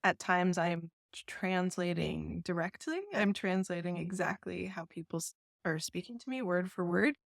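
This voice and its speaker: A woman from New York, a festival founder, talking through an interview in a soft, diplomatic way and laughing often. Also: fairly low pitch, moderate pace, vocal fry, no echo, clean studio sound. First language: English. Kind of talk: casual interview chat.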